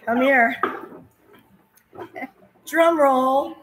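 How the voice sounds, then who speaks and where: A woman in her sixties hosting a livestream in a wig shop, brash and playful, her voice going hoarse from talking. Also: sits high, very slow, noisy background. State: losing her voice. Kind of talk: casual livestream chat. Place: busy wig shop studio.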